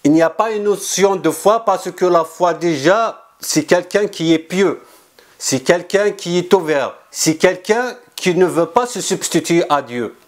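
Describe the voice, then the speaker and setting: Insistent high-voiced man, interview guest. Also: a little slow. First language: not French. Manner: earnest, insistent, frequent restarts and repetitions. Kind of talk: explanatory interview answers.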